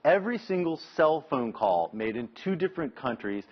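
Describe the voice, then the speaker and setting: Male news correspondent, husky, slightly muffled sound. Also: fairly high pitch, very animated, moderate pace. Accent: American. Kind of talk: live broadcast news report.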